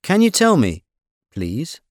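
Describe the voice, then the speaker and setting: A man reading short phrases for a language lesson in a crisp, deliberate delivery. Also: on the high side, wildly swooping pitch, no room sound, clean studio sound. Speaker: phrasebook reader.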